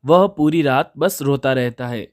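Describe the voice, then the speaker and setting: A man's voice: medium pitch, a little slow. A man narrating a scripted children's moral story. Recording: music under the voice. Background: background music.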